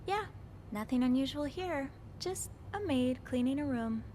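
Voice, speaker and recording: A timid young hotel maid. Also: female voice, high voice, expressive, a little slow, noisy background. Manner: hesitant, gentle, stammers.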